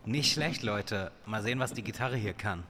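A man's voice: on the low side, normal speed, over loud music, close to an everyday voice. A man playing a casual member of a friend group in a Halloween audio play, speaking conversationally. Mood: upbeat.

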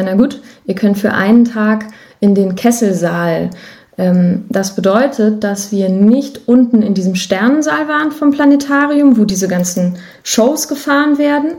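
A woman's voice: mid-range voice, warm tone. A woman talking as an interview guest in a casual podcast, telling stories in a chatty, informal way. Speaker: interview guest, musician and songwriter.

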